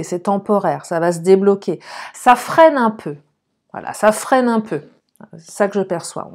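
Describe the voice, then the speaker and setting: A slightly hoarse woman gives an informal love card reading, chatting to viewers and thinking aloud. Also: on the high side, a little mellow. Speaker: online love card-reading guide and host.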